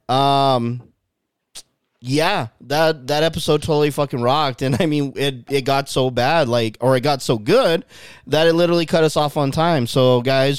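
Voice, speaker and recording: A man with a smooth, resonant voice chats loosely on a podcast, dropping curse words and plenty of ums. His voice is close and dry, with no room sound around it. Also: mid-range voice, dry recording. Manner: loose, swearing, rambling with fillers. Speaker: podcast co-host. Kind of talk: casual podcast chat.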